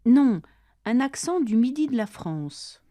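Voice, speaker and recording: A woman reading her part of a scripted dialogue for language learners, speaking carefully and deliberately, each line said twice. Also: on the high side, big pitch swings, warm tone, no echo, studio quality. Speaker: language-lesson dialogue reader.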